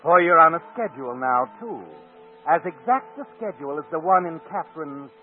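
Actor as knowing radio thriller narrator, tinny sound. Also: male voice, fairly high pitch, animated, close to an everyday voice. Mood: ominous. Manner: deliberate, insinuating, suspenseful. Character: omniscient, knowing narrator addressing the schemer, insinuating. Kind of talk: 1940s radio dramatic narration in second person. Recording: tinny old radio broadcast, music behind.

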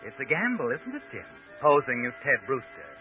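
An actor playing a knowing, insinuating narrator in an old radio suspense drama, speaking dramatically. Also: male voice, medium pitch, sing-song, fairly quick, close to an everyday voice. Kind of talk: 1940s radio suspense narration, second person. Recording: tinny old radio recording with music.

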